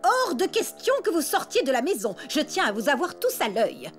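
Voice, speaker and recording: A prim, fussy female elephant babysitter for a French cartoon. Her voice is high and swoops widely as she scolds and condescends, with light music behind her. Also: wildly swooping pitch, moderate pace. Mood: bossy, fussy.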